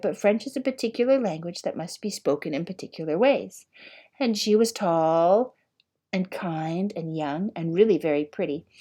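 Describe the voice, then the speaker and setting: A woman's voice: medium pitch, warm tone. A woman narrating a storybook expressively as an audiobook reader.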